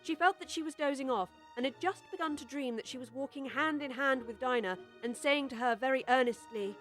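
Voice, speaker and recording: A woman narrating a children's storybook audiobook with expressive, scripted storytelling. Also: sits high, a little fast, soft background music.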